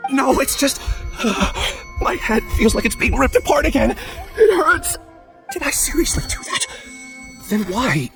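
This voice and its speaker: An actor playing a troubled, self-doubting young amnesiac scholar in a video game, hesitant and earnest. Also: male voice, very high voice, very animated, a little slow, creaky, long pauses, fairly bright tone, faint music behind, heavily acted. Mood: distressed, pained. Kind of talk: scripted video game dialogue.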